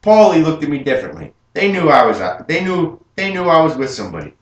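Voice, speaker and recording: A young man playing an adult gangster who proudly recalls his youth, telling it earnestly and rising with excitement, as a memorized film monologue. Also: fairly high pitch, fast talker, dull audio, pitched above the performer's own voice. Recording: roomy room with faint hum.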